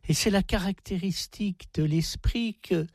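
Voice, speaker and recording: A man with a husky, creaky voice, speaking as a radio host in a reflective, deliberate and emphatic way. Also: sits high, dry recording. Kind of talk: reflective spoken introduction and interview.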